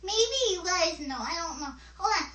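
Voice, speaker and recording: A woman voicing an excitable, easily distracted little girl puppet who hosts a children's show, chattering haltingly and interrupting herself. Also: very high-pitched, heavily acted. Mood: chatty, distracted. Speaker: puppet host.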